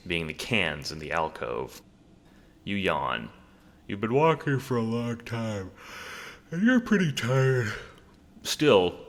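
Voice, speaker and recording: A man's voice: low-pitched, pushed and bright, big-room sound. A man narrating a scripted audio drama as a wry, theatrical storyteller addressing the listener.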